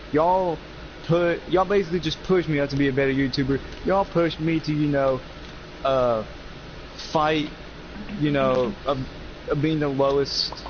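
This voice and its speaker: A young man of eighteen with a fairly high voice chats casually over a game. He is earnest and emphatic, repeating phrases as he goes, through a muffled microphone with clear background noise. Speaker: gaming YouTuber, co-host. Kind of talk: casual chat over gameplay. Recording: noisy, muffled lo-fi mic.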